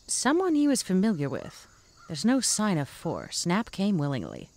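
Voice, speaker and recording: Wry elderly female sleuth, played by a woman, slightly low, knowing and unflappable, for audio comedy. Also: wildly swooping pitch, moderate pace, darkish tone. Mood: shrewd, knowing. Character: shrewd, unflappable senior woman, fugitive retirement-home sleuth. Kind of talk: audio comedy drama acting.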